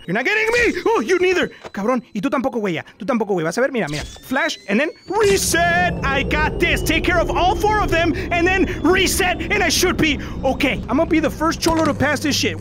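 Young man, very high voice, wildly swinging pitch, frantic horror-game yelling, noisy background. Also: big pitch swings, fast talker, few pauses. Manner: panicky, yelling, switching between English and Spanish. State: losing his voice. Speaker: VR gaming YouTuber. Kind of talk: loud, frantic gameplay commentary. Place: indoor room, in front of a green screen.

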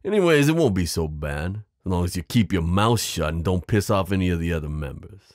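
A man voicing a smooth, possessive mafia boss in an ASMR roleplay, menacing yet protective, teasing the listener with pet names. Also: low-pitched, animated, a little fast, dry recording, studio quality. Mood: controlled, teasing. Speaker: audio roleplay performer. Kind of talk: ASMR roleplay drama acting.

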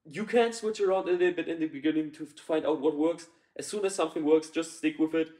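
A man's voice: fairly high pitch, fast, darkish tone. Blunt young man, echoey living room. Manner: blunt, swears, many fillers and restarts. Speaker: business consultant. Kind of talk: casual direct-to-camera advice. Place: living room, on a sofa indoors.

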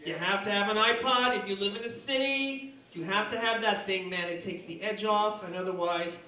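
A man's voice: sits high, normal speed, pushed and bright. A man in his thirties doing a stand-up comedy routine, theatrical, with mock-formal flourishes. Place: small dark comedy bar. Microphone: handheld stage microphone. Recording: roomy club sound, distant, audience noise. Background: club audience.

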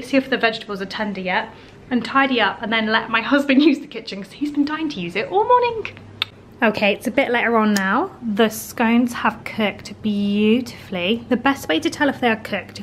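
A woman's voice: on the high side, fairly bright tone. A British woman in her thirties giving a casual, chatty cooking demonstration, informally thinking aloud as she works. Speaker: home baking vlogger. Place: home kitchen, indoors. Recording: household kitchen noise, dish clatter.

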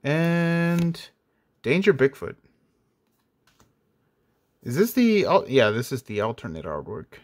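A man sits at a table late at night, quietly opening card packs and naming each pull at an unhurried pace. His voice is kept low and sounds a little dry and off. He talks in a relaxed, plain way in a middle register. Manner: hushed, unhurried, reading card names aloud. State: voice a bit off, dry throat. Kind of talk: casual pack-opening commentary.

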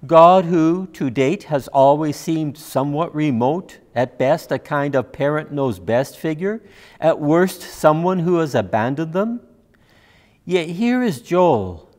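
Older man preaching, higher-pitched voice. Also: darkish tone. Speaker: minister. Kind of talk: sermon, measured delivery. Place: church sanctuary, at a pulpit.

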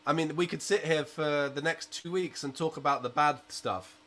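A man's voice: fairly high pitch, normal speed, sharp tone. A man in his thirties from Britain, a musician guest on a video-call interview, talking in an earnest, outspoken way from a small attic room. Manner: earnest and outspoken, with you-knows. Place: small home room under a sloped roof. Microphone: laptop webcam on a video call.

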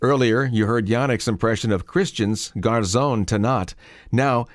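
A man hosting a wine podcast, reading a scripted segment in a smooth, even delivery. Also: mid-range voice, measured pace, no room sound, studio quality. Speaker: podcast host. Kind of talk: scripted narration.

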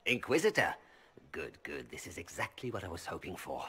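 Scheming merchant, husky, ingratiating, game voice. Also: male voice, sits low, sing-song, brisk, sharp tone, full character voice. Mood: smug, ingratiating. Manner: smooth, ingratiating, formal. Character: scheming male merchant, oily and polite. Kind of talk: video game voice acting.

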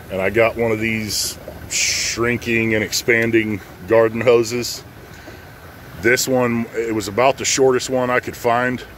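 Middle aged american guy, lowish voice, chatting casually through a how-to outside on his phone, bit of background noise. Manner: informal, plain-spoken. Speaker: pressure washing business owner and channel host. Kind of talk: casual how-to vlog, unscripted. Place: outdoors in a residential driveway. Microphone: handheld phone at arm's length. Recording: outdoor phone audio with light background noise.

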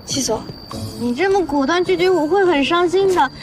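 High-voiced playful, stubborn young woman. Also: expressive, moderate pace, music in the background. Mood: teasing. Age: young adult. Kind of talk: TV romance drama dialogue.

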